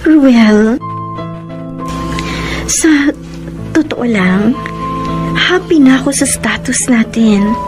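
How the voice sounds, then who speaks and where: A Filipino actress playing a modest, guarded young escort in a radio drama, speaking hesitantly and tenderly. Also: female voice, a little high, extremely slow, long pauses. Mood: gently guarded. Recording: music under the voice.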